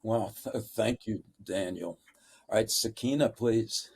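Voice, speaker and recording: A man's voice: on the low side, unhurried, a little mellow. A man moderating a panel in a courteous, formal but unscripted way, choosing his words with care.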